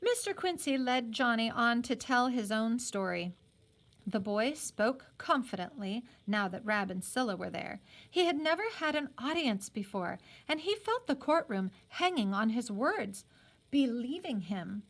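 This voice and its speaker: High-voiced, very animated woman reading a story. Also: unhurried. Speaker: teacher reading a book aloud. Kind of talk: expressive story read-aloud with brief explanatory asides.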